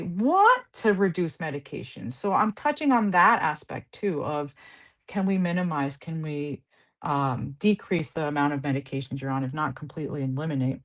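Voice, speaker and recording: An earnest woman clinician explains how she counsels patients, her voice sitting a little low. She speaks in a conversational interview tone and slips into short acted-out exchanges with patients. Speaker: guest clinician. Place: Southern California.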